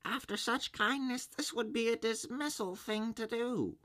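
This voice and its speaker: Squeaky little storybook oyster. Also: male voice, measured pace, sharp tone, exaggerated voice. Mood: alarmed pleading. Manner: squeaky, pleading, childlike. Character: frightened, naive little oysters. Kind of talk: storybook character reading.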